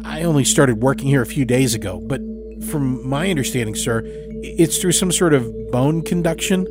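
A man's voice: mid-range voice, moderate pace, over music, voice pushed up, natural voice. A man playing a nervous, chatty male lab assistant in a horror audio story, informal and a little hesitant.

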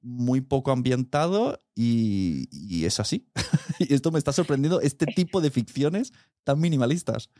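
A man's voice: medium pitch, animated, unhurried, mellow, dry recording, studio quality. A man with a Peninsular Spanish accent, hosting an informal, conversational podcast interview. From Spain. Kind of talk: casual chat.

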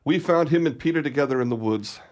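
A man playing a gruffly protective father and boss in a radio play, speaking emphatically. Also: mid-range voice, fast, a little mellow, lightly acted. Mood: proud, indignant.

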